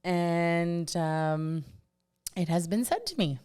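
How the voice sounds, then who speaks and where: A woman co-hosting a podcast who talks loudly and sociably in casual chat, questioning the others. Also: fairly low pitch, sing-song, slow, clean tone. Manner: loud, outgoing, questioning. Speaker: podcast co-host.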